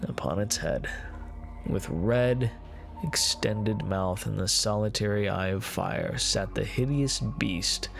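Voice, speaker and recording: A man playing an unhinged, gloating murderer confessing his crime in a theatrical, deliberate gothic horror reading. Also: fairly low pitch, vocal fry, soft background music. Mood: hushed dread. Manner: theatrical, ornate, deliberate phrasing with swelling emphasis.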